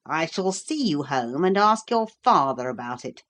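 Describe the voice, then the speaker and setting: A woman's voice: low voice, big pitch swings, measured pace, fairly bright tone, exaggerated voice. Pompous greedy old-fashioned magician guy, full of himself, lady reading it out. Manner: pompous, cross. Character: greedy, pompous middle-aged male magician.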